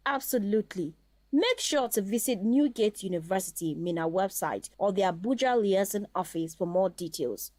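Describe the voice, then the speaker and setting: A woman's voice: medium pitch, expressive, moderate pace, a little mellow, no room sound. A woman hosting a scripted promotional interview in a polite, formal way.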